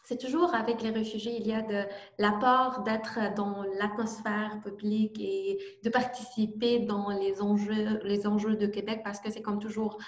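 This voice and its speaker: A young woman presenting her project in halting speech with many fillers and restarts, speaking as an over a video call. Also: mid-range voice, little pitch movement, echoey room. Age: young adult. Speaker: youth forum participant presenting her project. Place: home, remote online event.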